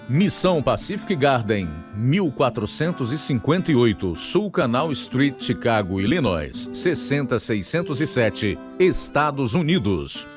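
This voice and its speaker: A man with a Brazilian accent hosting and announcing a radio program with scripted narration. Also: medium pitch. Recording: guitar music under the voice.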